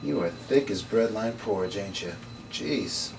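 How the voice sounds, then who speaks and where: A man's voice: lowish voice, unhurried, vocal fry, a little mellow. A man playing a dry, world-weary occult investigator in a noir script table read. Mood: scornful. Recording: distant mic, noisy room.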